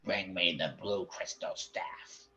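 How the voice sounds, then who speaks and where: A man voicing a fat, sneering male hobgoblin commander, speaking slowly and pompously with a thick accent. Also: sits low, pushed and bright, voice pushed down, exaggerated voice. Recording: dull lo-fi recording.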